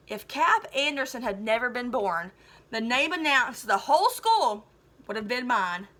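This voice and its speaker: High, bright, enthusiastic woman teacher reading aloud. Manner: chatty, enthusiastic, animated. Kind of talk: expressive children's story read-aloud with casual commentary.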